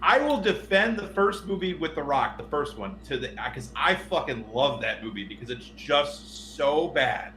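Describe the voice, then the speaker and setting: A bright, forceful male voice in a roomy, echoing space with faint noise. He co-hosts a casual podcast, rambling through his opinions in an animated way and swearing as he goes. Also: fairly high pitch, moderate pace, few pauses. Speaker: podcast co-host. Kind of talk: casual film and game discussion. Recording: roomy echo with background noise.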